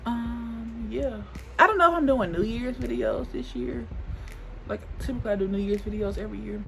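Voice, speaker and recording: A woman's voice: on the high side, normal speed, gravelly, soft background music, poor audio. A woman in her twenties chatting casually to camera while doing her makeup, rambling and restarting her sentences, with speech. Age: 20s. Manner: rambling, thinks aloud with many restarts and "like". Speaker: beauty vlogger.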